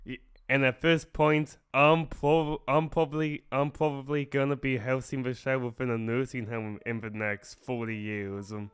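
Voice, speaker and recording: A man's voice: medium pitch, steady tone, moderate pace, smooth. A man casually hosting a metal music show in an informal, boisterous way, sounding slightly stuffed up from a cough. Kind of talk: casual radio show presenting.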